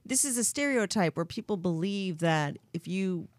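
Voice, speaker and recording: A woman's voice: fairly low pitch, expressive, normal speed, no room sound. A woman hosting a casual talk show, rambling and giggling, with a strong voice and a constant "you know". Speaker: host. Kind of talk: casual chat.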